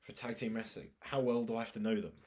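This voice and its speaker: A man's voice: lowish voice, steady tone, fast talker, a crowd in the background. A man, co-hosting a podcast and joking through casual banter. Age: 20s. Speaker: podcast co-host. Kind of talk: casual chat.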